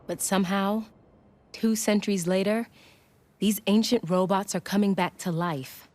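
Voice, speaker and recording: An actress playing a composed, proud warrior woman in an animated adventure game, speaking in a measured, formal storytelling way. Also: mid-range voice, natural voice. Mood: solemn storytelling. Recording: loud background music.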